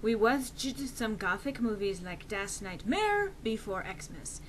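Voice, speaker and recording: A woman giving a dramatic, comedic reading of fan fiction, theatrical, emphatic and deliberately earnest. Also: medium pitch, sing-song, a bit bright. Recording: noisy amateur home recording.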